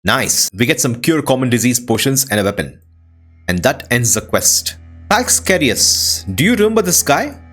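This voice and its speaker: A man's voice: mid-range voice, sing-song, moderate pace, a bit bright, faint music behind. A man hosting a video game playthrough, commenting casually on what happens.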